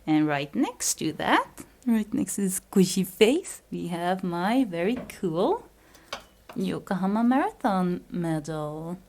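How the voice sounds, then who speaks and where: A woman's voice: on the low side, very animated, takes it slowly, darkish tone. A young woman whose first language is Dutch, chatting casually as she shows her apartment room by room on a handheld camera, giggling and dropping to whispers now and then. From Belgium. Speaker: vlogger giving a home tour. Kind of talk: casual house tour narration. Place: small apartment room indoors. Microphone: handheld camera held while walking.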